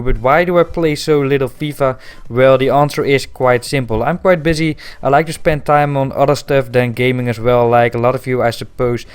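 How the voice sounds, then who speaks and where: A man in his twenties from the Netherlands with a Dutch accent, answering viewer questions in a casual voice-over, matter-of-fact with frequent pauses. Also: mid-range voice, smooth. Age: 20s. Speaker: gaming video creator.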